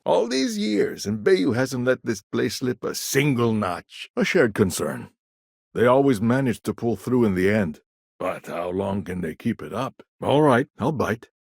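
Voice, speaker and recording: An actor playing a shrewd, guarded businessman in a video game, with a measured, wry, businesslike way of talking. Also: medium pitch, big pitch swings, gravelly, darkish tone, breathy edge, no room sound, clean studio sound, exaggerated voice. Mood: cautious, confiding. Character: shrewd, guarded corporate businessman, smooth-talking and self-assured. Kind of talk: scripted game dialogue.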